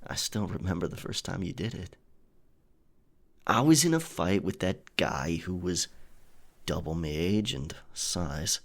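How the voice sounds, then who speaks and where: A man's voice: low-pitched, animated, unhurried, heavily acted. A man playing a roguish, affectionate pirate captain in an intimate, teasing romantic ASMR audio roleplay. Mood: fond, reminiscing.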